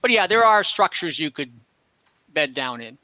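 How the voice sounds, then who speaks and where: A man acting as game master, describing scenes conversationally and haltingly, often rephrasing as he improvises. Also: a little high, very animated, sharp tone, no room sound, lo-fi sound. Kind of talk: improvised narration of an online tabletop game.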